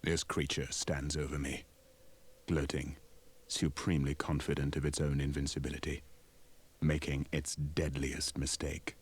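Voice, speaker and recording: A man's voice: extremely low pitch, level tone, measured pace, breathy edge, heavily acted. A man playing a solemn, world-weary namer of war machines, narrating a children's audio story in a portentous manner. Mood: ominous. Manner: grave, portentous. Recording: loud music behind the voice.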